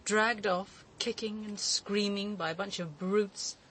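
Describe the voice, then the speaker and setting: An actress in a radio drama playing a forceful, indignant woman with a blunt, emphatic way of speaking. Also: mid-range voice, fairly even intonation, slow, slight background noise. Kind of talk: BBC radio drama dialogue.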